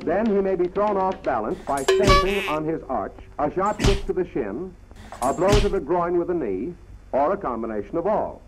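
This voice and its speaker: A man's voice: high voice, expressive, normal speed, over loud music. An American man narrating a 1940s military training film in a formal, clipped, instructional manner, on a dull vintage soundtrack.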